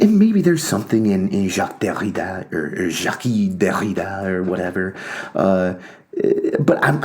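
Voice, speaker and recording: A man talking off the cuff in a rambling, halting way, full of fillers and restarts, with a wry self-mocking streak. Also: medium pitch, darkish tone. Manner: wry, laughs at himself. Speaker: commentator on books and ideas. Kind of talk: casual monologue.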